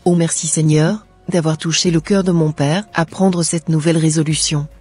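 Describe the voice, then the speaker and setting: Soft, husky devout daughter played by a woman. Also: deep voice, fairly even intonation, normal speed, faint music behind. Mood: earnest, devout. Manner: earnest, preachy. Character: devout, wise, gentle schoolgirl daughter.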